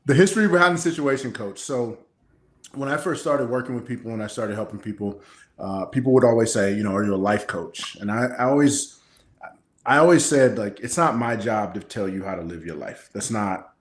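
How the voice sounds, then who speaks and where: A man's voice: on the low side, brisk. An American man, a coach being interviewed, explaining himself conversationally and in a straightforward way. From Kansas City, Missouri, United States. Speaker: guest, personal development coach. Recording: roomy, echoing sound.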